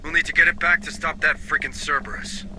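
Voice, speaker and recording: An actor playing a brisk, no-nonsense marine squad leader in a video game, giving terse, commanding lines. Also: male voice, a little high, level tone, background noise, natural voice. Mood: terse, urgent. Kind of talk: video game military dialogue.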